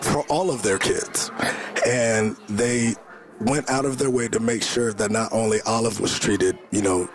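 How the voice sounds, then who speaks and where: A man's voice: mid-range voice, steady tone, measured pace. A man giving a casual, heartfelt testimonial as a staff member, repeating words for emphasis.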